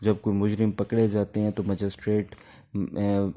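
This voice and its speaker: Pakistani guy teaching a class, deep soft-edged mellow voice, explains stuff with lots of pauses. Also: completely flat delivery. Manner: explanatory, halting with pauses between phrases. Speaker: law teacher. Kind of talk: informal lecture explaining exam material.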